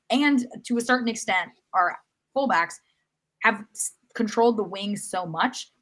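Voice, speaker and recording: A woman with a slightly low-pitched voice talks through sports analysis in a casual podcast style. She explains in a conversational way, revising her wording as she thinks aloud. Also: a bit bright. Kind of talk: casual soccer match analysis.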